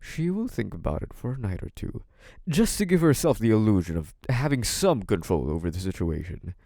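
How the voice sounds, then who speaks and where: A man's voice: fairly low pitch, big pitch swings, brisk, dark tone, no room sound, clean studio sound. A man playing a smug, menacing, possessive young mafia boss in an intimate audio roleplay, taunting in a theatrical, mock-sweet way. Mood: commanding. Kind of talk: scripted audio roleplay, intimate monologue to one listener.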